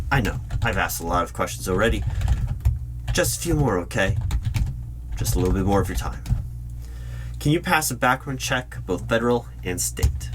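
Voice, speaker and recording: A man with a low voice plays a polite but secretive government hiring manager in a spoken ASMR roleplay. He talks formally and deliberately, leaving pauses. A clear hum and the clatter of computer keyboard typing sit behind him. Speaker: roleplay video creator playing an interviewer. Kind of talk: ASMR roleplay, spoken rather than whispered.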